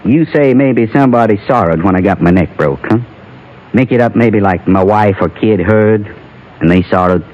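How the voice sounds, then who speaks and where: A male actor plays a condemned outlaw of the American West, bitter and wistful. The voice is low, with a rustic drawl and unschooled grammar. It comes through a muffled old radio western recording. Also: moderate pace, lightly acted. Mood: resigned. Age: 30s. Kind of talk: 1950s radio western acting. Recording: dull lo-fi vintage radio recording.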